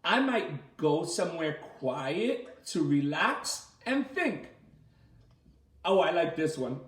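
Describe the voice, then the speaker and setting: A man leading a children's story time as a teacher, reading aloud and asking the listeners simple questions in an animated way. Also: on the high side, slow pace. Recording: roomy, echoey sound.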